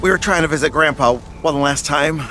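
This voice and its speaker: A man's voice: fairly high pitch, normal speed, lightly acted. A man playing a flustered, stammering, hapless young male sneak in a video game, with music behind him. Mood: sheepish. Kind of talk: animated video game voice acting. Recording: loud music behind the dialogue.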